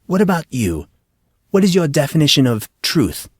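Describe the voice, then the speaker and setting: Man acting formal schoolteacher, raised pitch. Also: very animated, normal speed, breathy edge, no echo, clean studio sound. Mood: commanding. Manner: formal, precise questioning. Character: male schoolteacher, formal, probing, commanding in class.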